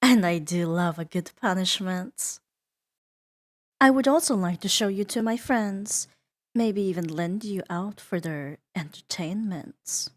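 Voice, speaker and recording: A woman voicing a seductive, menacing and playful female demon in a roleplay ASMR audio drama, teasing and imperious, drifting into breathy whispers. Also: fairly low pitch, measured pace, natural voice. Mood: pleased, domineering.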